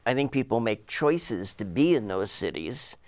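A man's voice: mid-range voice, lively intonation, normal speed, darkish tone, no echo. A man on a discussion panel, talking conversationally with some fillers.

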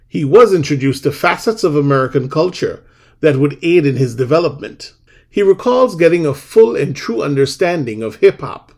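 A man's voice: fairly high pitch, slightly rough. A man hosting a podcast, reading a scripted introduction and then chatting casually with a guest, laughing easily. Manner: lively, animated delivery with laughs. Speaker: podcast host.

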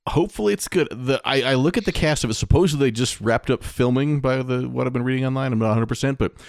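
A man's voice: medium pitch, quick pace, creaky, dry recording, clean studio sound. A middle-aged man chatting casually as a podcast co-host about things he follows. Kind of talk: casual conversation about movies and games.

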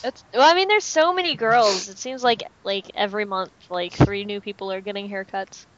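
A woman's voice: medium pitch, normal speed, no echo. A woman taking part in a fan podcast as a panelist, chatting casually over a dull, noisy lo-fi link. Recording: dull lo-fi voice link, clear noise.

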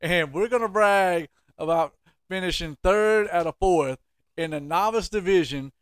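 Smooth-voiced man hosting a podcast. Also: sits high, unhurried, dry recording, clean studio sound. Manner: teasing, ribbing. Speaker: podcast host. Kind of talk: casual sports podcast chat.

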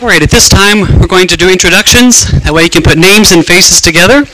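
A man's voice: high voice, fast, sharp tone, a little hiss or hum, rough recording. A man speaking informally to an audience as he leads and introduces a program. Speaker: choir director introducing a program. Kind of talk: informal spoken announcement to an audience.

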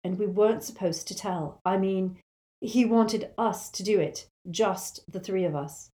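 A woman's voice: mid-range voice, warm tone, natural voice. A woman as a strained, urgent teenage boy hero. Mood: careful, reluctant. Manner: halting, strained, distracted. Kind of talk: audiobook character voice.

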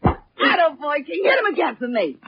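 A woman's voice: high voice, big pitch swings, moderate pace, dry recording. An actress playing a brash, rowdy party girl who whoops and talks slangy in a 1940s radio drama. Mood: rowdy, egging on. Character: rowdy, shrill party girl egging on her trumpeter boyfriend. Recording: muffled, noisy 1940s radio recording.

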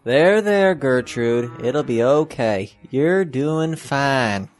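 A man playing a gentle, reassuring farmer in a children's audio drama, soothing and encouraging. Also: mid-range voice, expressive, very slow, no room sound, faint music behind.

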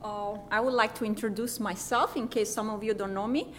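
A woman's voice: mid-range voice, steady tone, fairly quick, slight background noise. A woman from Italy with an Italian accent introducing herself to a church congregation, hesitant and with many fillers. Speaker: missionary.

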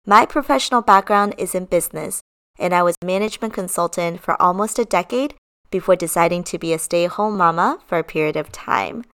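A woman's voice: lowish voice, brisk, fairly bright tone, clear, resonant tone. A woman in her thirties from China, co-hosting a podcast in a casual, upbeat, partly scripted chat. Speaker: podcast co-host.

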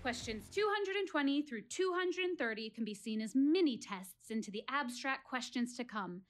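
A high, expressive voice of an actress playing a reflective woman alone on stage. She confides in her audience as she ponders life, wistful and deliberate in a theatrical audio play. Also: faint music behind. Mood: wry, spirited. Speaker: actress in a one-woman show. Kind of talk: theatrical dramatic monologue addressed to an audience.

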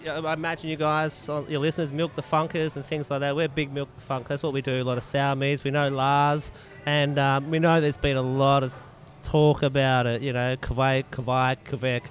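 A man's voice: mid-range voice, flat delivery, normal speed, hardly any pauses, soft background music. A man chatting casually as an interview guest, explaining his craft informally and repeating phrases for emphasis. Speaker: podcast guest, mead maker.

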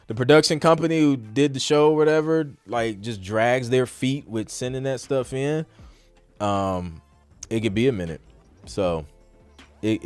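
Man, podcast host, low register, informal off-the-cuff Q&A delivery, dry recording. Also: clear, resonant tone, dry recording. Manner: informal, slangy, thinking aloud. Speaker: podcast host and music producer answering questions. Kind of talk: casual live Q&A talk.

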